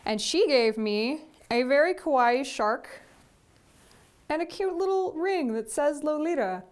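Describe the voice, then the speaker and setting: Young woman, fairly high voice, casual unboxing chat, gushing, repetitive. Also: expressive, unhurried. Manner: gushing, repeats "sweet" often. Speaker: vlogger.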